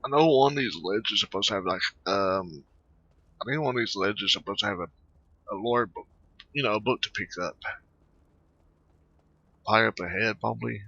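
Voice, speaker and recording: A man giving casual gameplay commentary, halting and muttering to himself as he goes. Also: fairly low pitch, gravelly, bright, forceful tone, husky, no echo. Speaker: gaming video host. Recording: dull, dry close home recording.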